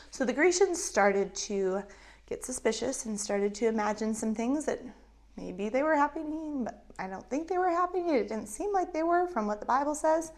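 Animated woman teaching kids a Bible story. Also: sits high. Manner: animated, acts out voices of characters. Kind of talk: storytelling Bible lesson for children. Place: indoors in front of a map backdrop. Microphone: lapel mic.

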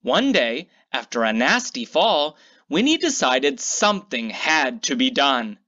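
A woman narrates a children's storybook in a theatrical read-aloud style. Her pace is unhurried and her pitch swings widely, stretching key words for emphasis. The recording sounds a little dull and lo-fi. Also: very animated, a bit bright.

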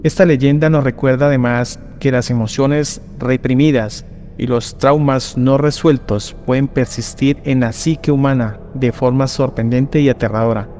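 A man reading a scripted horror legend in first person, slow and solemn, with drawn-out pauses. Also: medium pitch, steady tone, slight background noise. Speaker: narrator. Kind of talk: dramatic scripted horror narration. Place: voice-over over rainy night images. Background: soft background music.

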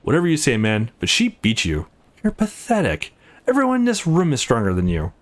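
A man's voice: mid-range voice, wildly swooping pitch, a little fast. A man voicing an earnest, protective young hero in a visual novel, conversational and sincere. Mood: indignant.

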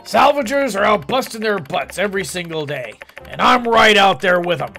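A man voicing a gruff, burly male mining boss with a husky, gravelly voice. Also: high voice, expressive, normal speed, bright, forceful tone, faint music behind, full character voice.

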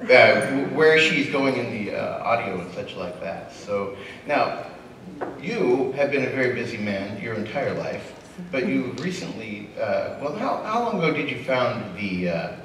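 A man's voice: mid-range voice, expressive, a little slow, fairly bright tone. A man moderating a convention panel, asking questions in an enthusiastic, joking way. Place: convention hall, audience present. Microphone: handheld mic, far camera. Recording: echoey hall from far off.

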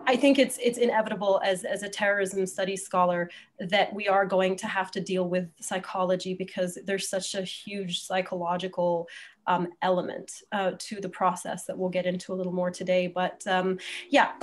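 A woman's voice: on the low side, level tone, moderate pace, a little hiss or hum. A woman in her thirties from Egypt speaking conversationally as an academic guest on a video call, thoughtfully rephrasing as she goes. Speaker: guest academic, terrorism scholar. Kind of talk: conversational interview answer. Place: indoor room at home, video call.

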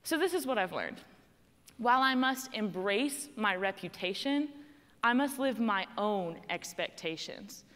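A young woman from Texas speaks with a clear Texan accent, standing on a stage in a large hall. She tells a personal story in a conversational, emphatic way and leans on the key words. Her voice carries a long hall reverb. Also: medium pitch, lively intonation, measured pace, a bit bright. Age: young adult. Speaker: student panel speaker. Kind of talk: prepared personal speech.

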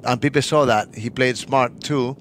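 A man's voice: medium pitch, a little slow, a little hiss or hum. A man co-commentating polo in a studio, mostly giving short agreeing replies in choppy phrasing. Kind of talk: live sports commentary. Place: broadcast studio.

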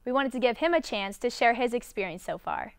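A young woman hosting from a studio, reading a scripted introduction in a bright, clear presenting manner. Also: a little high, animated, brisk, dry recording. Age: young adult. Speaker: studio host. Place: indoor studio.

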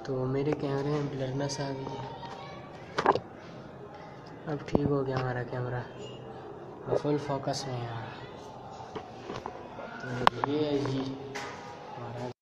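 A man's voice: medium pitch, level tone, slow pace, long pauses. A teenage boy gives a plain, matter-of-fact tour of an unfinished concrete house, filming on a phone held at arm's length. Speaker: vlogger. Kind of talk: casual house-tour narration. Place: bare unfinished concrete house, indoors and on the roof. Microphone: phone camera held at arm's length. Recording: echoey bare rooms, loud ambient noise.

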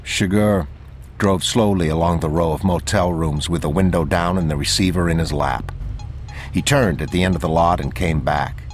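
A man narrating an audiobook in a measured, unhurried reading. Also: low-pitched, a little hiss or hum.

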